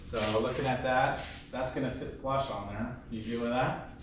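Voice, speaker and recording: A man's voice: mid-range voice, fairly even intonation, moderate pace. Echoey, off-mic man doing DIY. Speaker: DIY garage host. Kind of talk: casual hands-on project walkthrough. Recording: echoey, distant mic, faint background noise.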